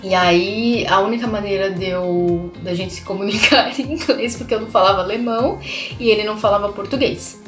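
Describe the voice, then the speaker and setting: A woman's voice: mid-range voice, a little fast, a bit bright, reverberant, faint music behind. A young woman from Brazil talking casually to her viewers as a language channel host, chatty and full of fillers and tag questions. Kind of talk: casual vlog talk to viewers.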